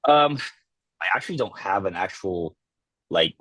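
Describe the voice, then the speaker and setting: Laid-back male guest, very low voice, dry close sound, loose rambling delivery. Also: no echo. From Philippines. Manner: relaxed, halting, many fillers and restarts. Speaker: podcast guest, content creator. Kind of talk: casual interview chat.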